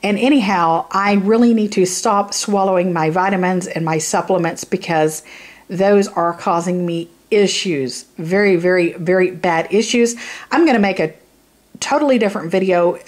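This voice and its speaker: A woman vlogger with a hoarse, raspy voice from sinus trouble, rambling casually through a supplement review to camera with lots of fillers. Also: lowish voice, moderate pace. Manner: rambling, chatty, many fillers and "you know what I mean". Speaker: YouTube beauty vlogger. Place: indoors, filming a product close up.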